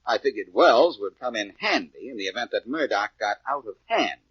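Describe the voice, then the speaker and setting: A tough, self-assured adventurer with a husky voice and a wisecracking edge. His delivery is animated and commanding, in 1940s radio detective style, on a dull lo-fi recording. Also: mid-range voice, a little fast, dry recording, soft background music. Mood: amused, relaxed. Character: wry, self-assured male adventurer, tough and quick-witted.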